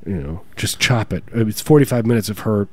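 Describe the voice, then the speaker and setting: A man co-hosting a podcast, sounding stuffed up and nasal, riffing in a deadpan, casual way and trailing off. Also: on the low side, fast, dry recording. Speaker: podcast co-host. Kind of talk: casual banter.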